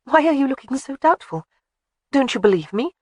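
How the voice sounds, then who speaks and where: A woman's voice: high voice, big pitch swings, normal speed, a little mellow, no room sound, pitched above the performer's own voice. A woman voicing a spirited young wife in an audiobook who is cheerful, stubborn and insistent.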